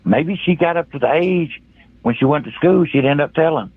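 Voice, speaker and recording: A man's voice: fairly high pitch, moderate pace, breathy edge, dry recording. A man calling in to a talk show, speaking casually and colloquially, folksy and emphatic, often repeating himself, over a muffled phone line.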